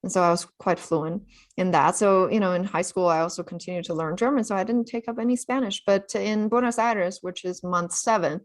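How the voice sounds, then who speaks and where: A woman author, a native Chinese speaker born in China, telling her travel story in a relaxed interview, full of "you know" fillers. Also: on the low side. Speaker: author guest.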